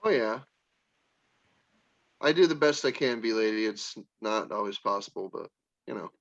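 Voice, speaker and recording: A man's voice: on the low side, expressive, normal speed, lo-fi sound. A man in his forties in a casual group chat, talking in an easygoing, shrugging way. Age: 40s.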